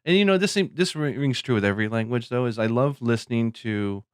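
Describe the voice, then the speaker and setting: A man co-hosting a podcast, talking casually in a halting way, restarting and hedging his words. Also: mid-range voice, smooth, no echo. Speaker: podcast co-host. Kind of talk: casual chat.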